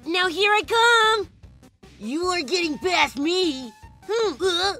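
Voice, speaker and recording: Casting: woman as eager kid monster for cartoon dub, extremely high, bright, unhurried, expressive. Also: soft background music, heavily acted. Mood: playful. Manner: expressive, exclamatory. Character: young monster kid, earnest, eager, playful. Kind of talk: comic dub voice acting.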